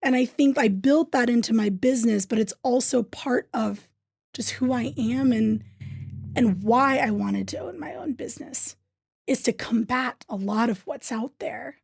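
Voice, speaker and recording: Brief: woman guest, somewhat high voice with gravelly fry, earnest and conversational, dry close sound. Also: a little slow, a little mellow, no room sound. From Thailand. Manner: earnest, says "right?" often. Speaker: interview guest, business owner. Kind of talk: casual interview talk.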